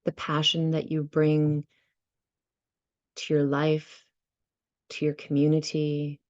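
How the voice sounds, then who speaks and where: A woman leading a guided visualization and meditation, speaking gently and unhurriedly in short phrases with many pauses. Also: low voice, monotone, dry recording, muffled recording. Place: online video session.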